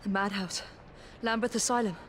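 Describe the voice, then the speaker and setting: An actress playing a determined but troubled assassin hunting a killer in a video game, brooding and tense, amid loud background noise. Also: female voice, mid-range voice, steady tone, moderate pace, natural voice. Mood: grim resolve. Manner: tense, brooding, self-questioning. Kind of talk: dramatic video game acting, inner monologue. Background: loud ambient noise.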